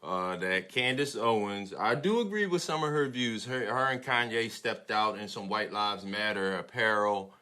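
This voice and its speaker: An American man co-hosting a podcast, giving casual opinions in a halting, slangy way and often repeating his opening words. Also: lowish voice, pushed and bright. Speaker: podcast co-host.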